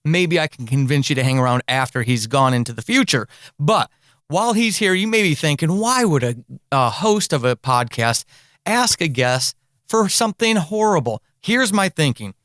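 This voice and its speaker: A man's voice: on the high side, animated, moderate pace, fairly bright tone, dry recording, clean studio sound. A man hosting a podcast interview, speaking conversationally in an earnest, encouraging way and repeating words for emphasis. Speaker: podcast host.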